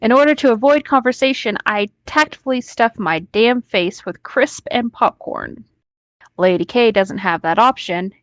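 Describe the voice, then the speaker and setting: Woman, unhurried delivery, casual story reading, joking, sweary, slightly dull dry recording. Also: fairly high pitch, no echo. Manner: informal, joking, swears freely. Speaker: co-host reading a story aloud and commenting.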